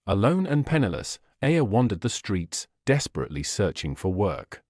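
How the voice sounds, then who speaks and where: A man narrating a scripted folktale in a dramatic, measured storytelling manner. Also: fairly low pitch, big pitch swings, a little mellow, no room sound, studio quality.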